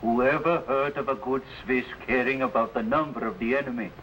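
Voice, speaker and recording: A man's voice: medium pitch, a little fast, a bit bright, close to an everyday voice. An actor playing a proud, resolute Swiss father in an old-time adventure serial, speaking in solemn, archaic formal language. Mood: defiant. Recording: noisy vintage broadcast recording.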